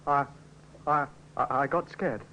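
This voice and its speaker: An actor playing a scared, evasive young hired hand who stammers, in an old radio crime drama. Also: male voice, mid-range voice, sharp tone, slight background noise. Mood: scared, breathless. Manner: stammering, halting. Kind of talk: 1950s radio drama acting. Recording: dull lo-fi old radio recording.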